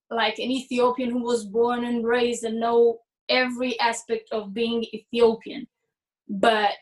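A young woman from Israel with a slightly raised pitch speaks as a musician guest in an interview. She answers thoughtfully, pausing and restarting her phrases as she looks for the right words. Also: low-quality recording.

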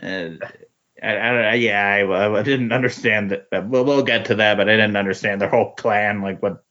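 A man's voice: lowish voice, fairly even intonation, normal speed, fairly bright tone. A man chatting casually as a podcast guest, hesitant and often trailing off, on a dull, lo-fi recording. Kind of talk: casual chat. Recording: dull, lo-fi sound.

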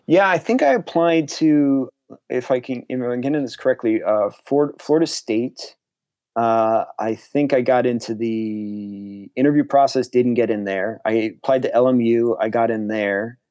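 Young man, filmmaker guest, rambling and eager, mid pitch, dry close sound. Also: moderate pace, a little mellow, no echo. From Connecticut, USA. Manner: rambling, restarts often, enthusiastic. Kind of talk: casual interview.

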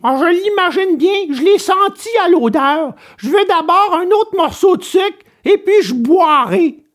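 A woman's voice: extremely high pitch, animated, a little slow. A woman playing a stubborn, childlike wooden puppet boy in an audio storybook, whining and exclaiming dramatically. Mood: whiny, stubborn.

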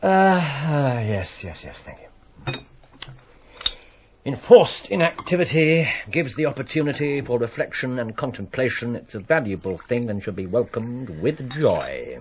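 A man playing a brilliant, restless, impatient detective in a radio drama, muttering excitably and repeating words. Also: medium pitch, unhurried. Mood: wry. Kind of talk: British period radio drama acting. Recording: dull radio recording, faint noise.

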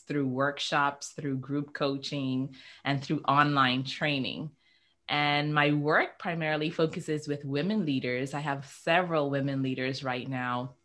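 Low-voiced upbeat woman from the Bahamas. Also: a bit bright. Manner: upbeat and effusive, jokes playfully. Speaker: guest, communications coach. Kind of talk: podcast interview.

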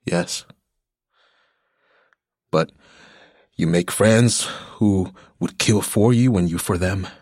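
An American man in middle age acting a world-weary, menacing yet tender ex-convict uncle in a radio drama, with a rough, husky voice. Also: low-pitched, slow pace, long pauses, a little mellow, no room sound, studio quality. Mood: bleak, confiding.

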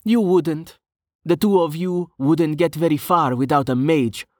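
A man's voice: fairly high pitch, expressive, slow pace, dry recording, studio quality, voice pushed up. A man putting on a whiny, lazy mage. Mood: smug, casual. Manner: complaining, indignant.

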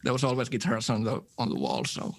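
A man, a guest musician in a casual interview, relaxed and quick to laugh. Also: mid-range voice, creaky. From Finland. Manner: relaxed, laughs easily, detailed gear talk.

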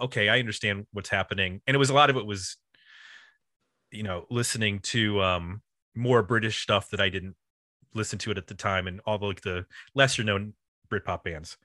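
Casting: male podcast co-host, bright tone, dry sound, rambling casual delivery full of "like". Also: on the low side, brisk, dry recording. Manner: rambling, uses 'like' constantly. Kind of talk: casual music discussion.

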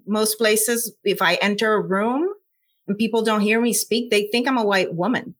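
A woman's voice: mid-range voice, steady tone, normal speed. A woman from Puerto Rico with a Puerto Rican accent, a scientist speaking earnestly in an interview, with frequent fillers. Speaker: scientist guest. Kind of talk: conversational interview.

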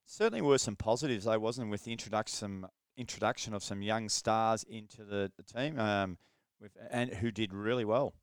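Host: man, smooth clear voice, chatty and keen, dry close sound. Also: on the low side, no echo. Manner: chatty, enthusiastic, quick exclamations. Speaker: podcast host, interviewer. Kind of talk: friendly interview.

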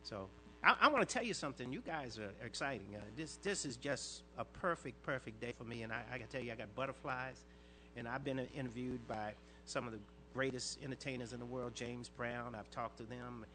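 An older man, a longtime radio disc jockey, answering interview questions with a fairly light voice and quick, inflected phrasing. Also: medium pitch, bright, forceful tone, a little hiss or hum. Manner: inflects words, talks in quick bursts with restarts.

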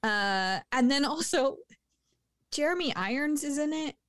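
Woman kinda high voice, rambly movie chat, laughs a lot, dead dry sound. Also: expressive, a little slow, a bit bright, dry recording. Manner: chatty, laughs often, many fillers. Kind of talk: casual movie review chat.

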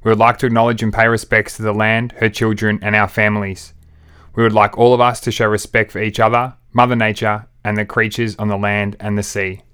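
A man's voice: lowish voice, flat delivery, brisk, a bit bright, clean tone. A man co-hosting a podcast, easygoing, opening with a formal acknowledgement. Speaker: podcast co-host. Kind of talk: casual podcast chat.

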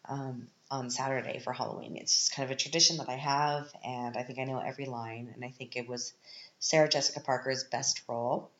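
A woman's voice: rumbling low, a bit bright. A woman in her thirties with a stuffed-up, scratchy voice, chatting casually and rambling as a podcast host at home. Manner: chatty and rambling, with frequent laughs. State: stuffed up, congested. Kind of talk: casual chat. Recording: roomy, dull lo-fi sound.

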